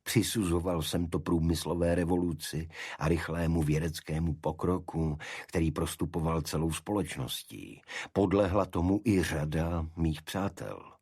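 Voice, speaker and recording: A man with a very low, husky voice narrates a detective story in a measured, literary way. The recording is close and dry, and the reading is scripted and dramatic. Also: a little mellow, no room sound. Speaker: audiobook narrator.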